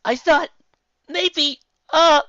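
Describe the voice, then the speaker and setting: A performer voicing an incredulous, easily agitated female unicorn pony with an emphatic, halting delivery in a story reading. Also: high voice, sharp tone, no echo, pitched above the performer's own voice, exaggerated voice. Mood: flustered. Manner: emphatic, halting when flustered. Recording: slightly dull home recording.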